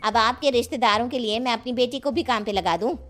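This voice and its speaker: A woman's voice: fairly high pitch, brisk, a bit bright, faint music behind, close to an everyday voice. A woman playing a bossy, sarcastic middle-aged city housewife in a cartoon family story, with a nagging, dismissive way of talking. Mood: complaining. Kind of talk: animated moral-story dialogue.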